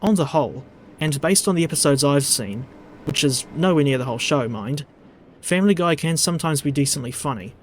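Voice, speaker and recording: A man with a New Zealand accent reading a scripted narration in a dry, measured, digressive way. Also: a little high. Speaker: video essay narrator.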